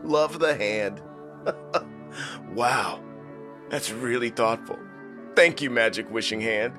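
A man's voice: mid-range voice, takes it slowly, over music, natural voice. An actor playing a gullible, self-satisfied man with a naive, earnest delivery in a comedy-horror radio play. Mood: amazed.

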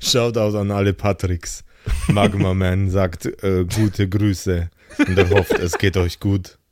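A man's voice: low voice, little pitch movement, slow, background noise. A man narrating and chatting casually as the game master of an improvised role-play show. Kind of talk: improvised tabletop narration and chat.

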